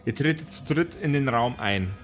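A man with a medium-pitched voice leads a tabletop role-playing game as its game master. He improvises descriptions with some theatrical drama and slips into banter. Music plays faintly behind him. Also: animated, normal speed.

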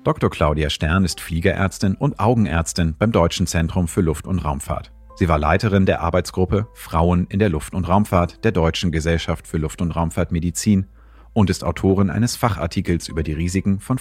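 A man's voice: low-pitched, moderate pace, a little mellow, slightly rough, soft background music. A man reading scripted documentary narration as a narrator.

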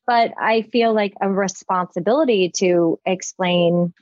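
A middle-aged woman speaking earnestly and haltingly as a guest in a reflective discussion, on a muffled lo-fi microphone. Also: medium pitch, a little mellow, no echo. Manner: earnest, halting as she forms thoughts. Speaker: podcast guest.

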